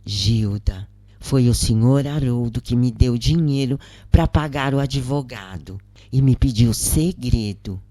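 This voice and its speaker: A worried, loving mother voiced by a narrator confides and pleads with her child. She speaks slowly in a dramatic audio story, recorded dry and close. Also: mid-range voice, mellow, dry recording, natural voice. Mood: confiding. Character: worried, loving working-class mother of prisoner.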